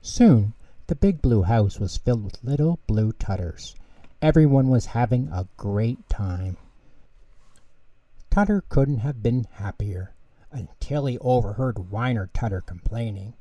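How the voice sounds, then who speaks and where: Male storyteller for children's book narration; dark, relaxed tone, mid-range pitch, distant mic. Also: a little slow, muffled recording. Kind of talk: scripted bedtime story narration. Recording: echoey room, distant mic.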